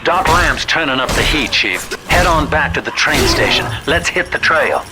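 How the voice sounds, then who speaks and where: A man's voice: a little high, expressive, moderate pace, over loud music. An actor playing a folksy, scheming Southern US man with a conspiratorial drawl, guiding his partner over a radio in a video game. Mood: urgent, grave. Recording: noisy radio transmission.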